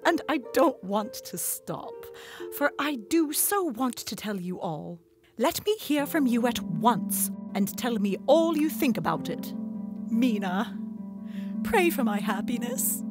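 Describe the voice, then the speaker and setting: Actress as a gushing, girlish young lady, very animated. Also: fairly high pitch, slow pace, full character voice. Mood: lovestruck, gushing. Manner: girlish, giggly, theatrical. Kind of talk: dramatised letter reading in a radio play. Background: instrumental music.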